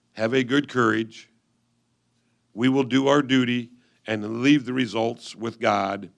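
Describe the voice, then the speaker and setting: A man reading old letters aloud in a reader's theatre style, with a solemn, measured delivery. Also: mid-range voice, vocal fry.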